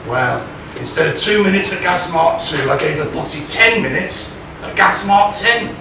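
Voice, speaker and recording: In a noisy, very echoey hall, a man plays a ragged old pantomime chambermaid turned prisoner. The voice is fairly high, telling tales in a rambling, comic way from a distance. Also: expressive, moderate pace. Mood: rambling, confiding. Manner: rambling, comic storytelling with hesitations. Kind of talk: stage pantomime comedy acting. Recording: very echoey hall, distant mic, clear noise.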